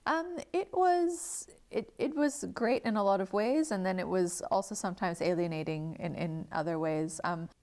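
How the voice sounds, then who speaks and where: A woman's voice: mid-range voice, moderate pace, hardly any pauses, darkish tone. A woman from Singapore, an author, answering interview questions thoughtfully with fillers, restarts and easy laughs. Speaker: author guest. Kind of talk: interview conversation.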